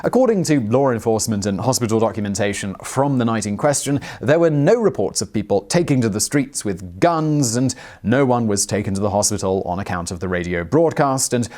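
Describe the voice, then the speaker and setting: A man's voice: fairly low pitch, expressive, fairly quick, few pauses, a little mellow. Man narrating a scripted history explainer.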